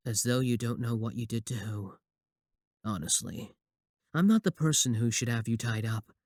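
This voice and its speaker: A man's voice: medium pitch, moderate pace, darkish tone, no room sound, studio quality, natural voice. A young man acting a possessive, obsessive student admirer in a scripted ASMR roleplay, sweet yet menacing. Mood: cold, resentful.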